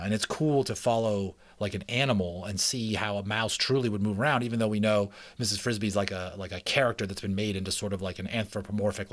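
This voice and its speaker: A man narrating a children's novel as a dramatic, expressive audiobook reading. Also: on the low side, no echo. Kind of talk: dramatic children's book reading with chatty asides.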